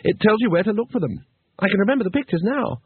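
A naive, fussy tourist, lifted high and prim, as a male reader puts him on. He talks with earnest insistence through a husky voice. The sound is narrow and phone-like, dry with no room. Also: expressive, fast talker, dry recording. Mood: eager.

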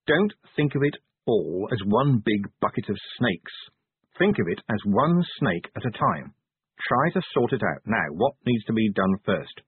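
A man voicing a weary, gruff middle-aged police commander with a sardonic edge. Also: on the low side, very animated, measured pace, no echo, phone-quality sound, full character voice.

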